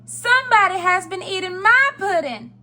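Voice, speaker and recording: A woman's voice: very high voice, animated, normal speed, bright, forceful tone, voice pushed up, full character voice. A woman voicing a middle-sized mother bear, firm and put out, in a storybook reading.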